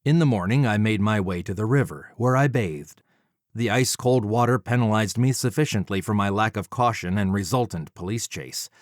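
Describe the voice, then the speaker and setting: A man narrating an audiobook from a script in a measured, formal way. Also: on the low side, no room sound, clean studio sound. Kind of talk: scripted first-person narration.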